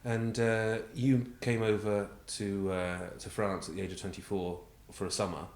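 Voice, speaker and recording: Male interviewer, fairly low pitch, echoing room sound, measured informal prompting. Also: flat delivery.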